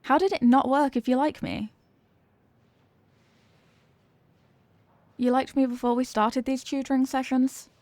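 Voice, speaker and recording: A woman's voice: sits high, darkish tone, no echo, natural voice. A young woman acting a flustered, coy popular cheerleader girl with a secret crush, in an intimate ASMR romance roleplay. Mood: indignant. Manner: coy, flustered, dramatic, with hesitant stammers.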